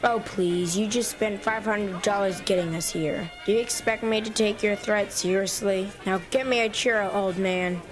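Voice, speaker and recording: A woman's voice: mid-range voice, steady tone, normal speed, hardly any pauses, natural voice. Lady doing a spoiled bratty little boy, bossy and insolent, audio drama with faint music behind. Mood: bratty, demanding. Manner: insolent, entitled. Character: bratty, demanding, threatening young son wanting snacks. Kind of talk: comedy audio drama acting.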